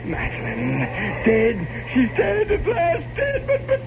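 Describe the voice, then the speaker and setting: A man acting a terror-stricken, anguished mansion heir in an old-time radio drama, halting and repeating his words. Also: extremely high pitch, huge pitch swings, heavily acted. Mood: panicked, horrified. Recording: muffled, very noisy old radio recording.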